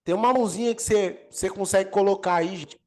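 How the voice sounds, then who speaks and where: A man with a Brazilian accent, an apostle teaching a healing course over a video call, energetic and emphatic as he answers questions. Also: high-pitched, fast talker. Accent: Brazilian Portuguese. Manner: energetic preacher, swells and emphasizes key words. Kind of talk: live online teaching with Q&A.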